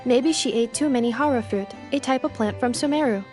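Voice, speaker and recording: A woman's voice: a little high, brisk, a little mellow, soft background music, close to an everyday voice. A woman voicing a polite robot maid in a video game, formally and literally explaining things in an earnest way. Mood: earnest, explanatory.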